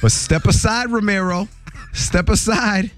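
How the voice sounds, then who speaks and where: A man hosting a morning radio show, telling entertainment news in a jokey, animated way. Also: a little slow, faint music behind. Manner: animated, jokey, voice jumps high for emphasis.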